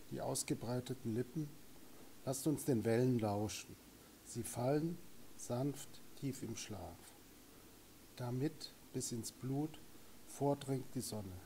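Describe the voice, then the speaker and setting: A man's voice: mid-range voice, warm tone. A man reciting a poem aloud at a recital. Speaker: poetry reader. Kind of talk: poetry recitation. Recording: light background noise, room ambience.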